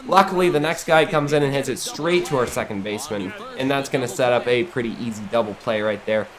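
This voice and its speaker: A man's voice: mid-range voice, a little fast, a crowd in the background. An American man hosting a gaming series, giving casual, rambling, conversational commentary while a bit sniffly from getting over the flu. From Ohio, United States. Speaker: gaming YouTuber, series host.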